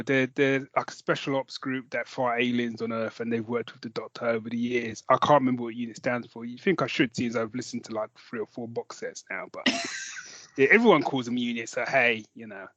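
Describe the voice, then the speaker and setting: A man chats casually as a podcast co-host in a medium-pitched voice. He is jokey, self-mocking and happy to swear. The sound is dry and close, a little muffled. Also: a bit bright, dry recording. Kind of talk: casual chat, recommending audio dramas.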